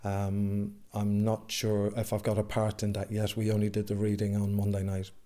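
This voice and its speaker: An Irish man gives a casual interview in a low, mellow voice. His delivery is reflective and slightly hesitant. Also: monotone, normal speed. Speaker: amateur actor, interviewee.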